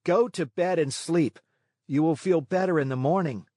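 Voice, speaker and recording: Man voicing a high-pitched, pleading puppet boy. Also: moderate pace, warm tone, dry recording, studio quality, close to an everyday voice. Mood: rude, defiant. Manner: pleading, lamenting. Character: wooden boy puppet, childish, distraught and stubborn. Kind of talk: animated children's storybook read-along.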